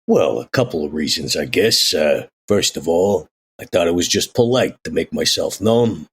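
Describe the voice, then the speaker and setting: A man voicing a streetwise, deferential century-old vampire with a gravelly, husky voice and hesitant, folksy old-time slang in a tabletop game. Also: low-pitched, animated, moderate pace, full character voice. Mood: polite, casual.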